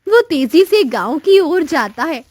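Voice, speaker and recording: A woman's voice: sits high, very animated, measured pace, over music. A woman giving scripted storybook narration as the narrator of a cartoon tale.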